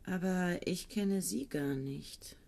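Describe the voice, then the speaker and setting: An actress playing a withdrawn young woman student in a German radio play, speaking low and often in a whisper. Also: takes it slowly, gravelly, a little mellow, full character voice. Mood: dreamy. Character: young woman student, brooding, dreamy farmer's daughter.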